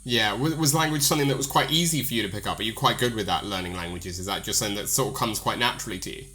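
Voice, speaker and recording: British man, host, interviewer, rambling questions, filler-heavy, bright voice. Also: on the low side, rapid-fire. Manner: rambling, filler-heavy, friendly, laughs easily. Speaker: podcast interviewer. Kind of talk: casual interview chat.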